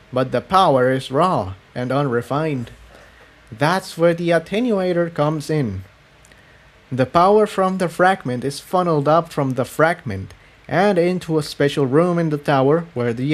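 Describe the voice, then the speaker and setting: Man voicing grave, weary mare defector, slightly high. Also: natural voice. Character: grave, weary female pony defector explaining dark secrets.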